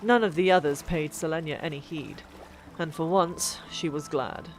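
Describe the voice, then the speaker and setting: Expressive woman narrating fiction over music. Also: low voice, moderate pace, low-quality recording. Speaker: audiobook narrator. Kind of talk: dramatic scripted fiction narration. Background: background music.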